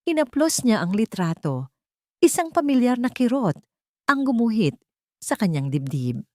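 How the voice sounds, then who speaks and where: A woman narrating a scripted romantic drama story in a measured, deliberate way with dramatic pauses. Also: fairly high pitch, sing-song, dark tone, no echo, clean studio sound. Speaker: narrator of romance audio stories.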